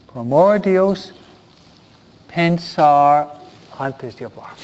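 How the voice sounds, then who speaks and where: Priest, earnest preacher, fairly high voice, muffled lo-fi recording. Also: male voice, lively intonation, drawn-out words, a little mellow. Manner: earnest, preaching with repeated pleas and rhetorical questions. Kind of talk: sermon. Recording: dull lo-fi sound.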